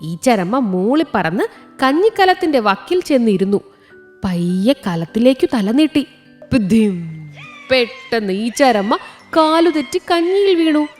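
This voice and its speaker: A woman's voice: fairly high pitch, a little slow, faint music behind. A woman narrating a scripted children's story expressively, as a storyteller. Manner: expressive, with sound words.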